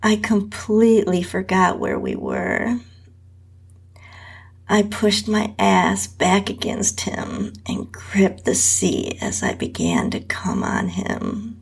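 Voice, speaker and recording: A woman with a husky, breathy voice, reading a scripted first-person adult story slowly as its narrator. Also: medium pitch, sing-song. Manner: slow, drawn-out reading with whispery dips.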